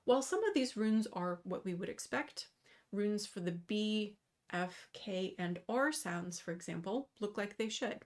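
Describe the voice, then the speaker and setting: Woman in her thirties, slide lecture, careful measured reading, mid pitch, webcam in a small room. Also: expressive. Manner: measured, careful reading with slow emphasis on terms. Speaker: runology lecturer. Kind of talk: scripted lecture over slides. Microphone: webcam video in the corner.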